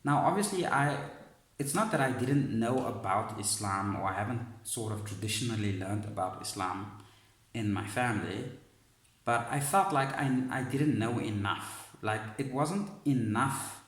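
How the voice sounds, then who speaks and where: A man telling a personal story in a thoughtful, searching way, often pausing and restarting his sentences. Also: mid-range voice, lively intonation, a bit bright, echoey room. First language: not Arabic. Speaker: vlogger sharing personal story. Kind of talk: reflective personal monologue.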